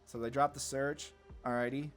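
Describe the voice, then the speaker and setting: A man's voice: mid-range voice, flat delivery, normal speed, soft background music. A man giving casual, laid-back gameplay commentary on a card game, thinking aloud as he plays. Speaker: gaming content creator.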